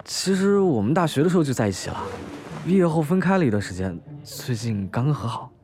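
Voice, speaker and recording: A man's voice: fairly high pitch, very animated, quick pace. An actor playing a polite, mild-mannered young man in a modern audio drama. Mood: sheepish.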